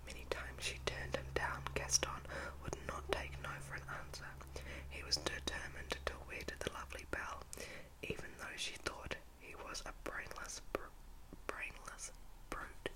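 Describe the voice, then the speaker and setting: A woman reads a children's storybook in a breathy ASMR whisper, halting now and then to correct herself. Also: low-quality recording. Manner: halting reading with slips and self-corrections.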